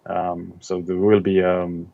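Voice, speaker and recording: A middle-aged man from Israel, an author being interviewed, speaking in a thoughtful, casual way with many pauses and ums. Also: low voice, monotone, gravelly, phone-quality sound.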